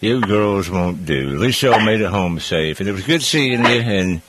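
Middle-aged male radio host, very low-pitched, folksy and easygoing, conversational. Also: animated, moderate pace, noisy background. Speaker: radio talk show host. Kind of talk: casual chat.

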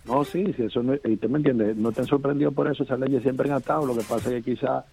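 A man calling in, telling a personal story in an animated way. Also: medium pitch, fast talker, gravelly, husky. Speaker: caller.

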